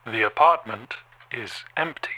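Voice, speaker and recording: An actor playing a cold, detached security camera that reports what it sees in a halting, deliberate monotone for an audio drama. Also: male voice, mid-range voice, loud music behind the voice, lightly acted. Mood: flat, uncertain. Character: male security camera, cold detached slow-thinking machine observer. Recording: clearly noisy background.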